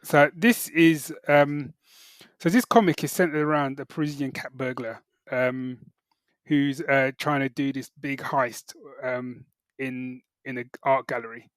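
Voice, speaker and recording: A middle-aged British man chatting casually as a podcast co-host, halting and correcting himself as he goes. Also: medium pitch, steady tone, no echo. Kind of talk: casual chat, geek reviews.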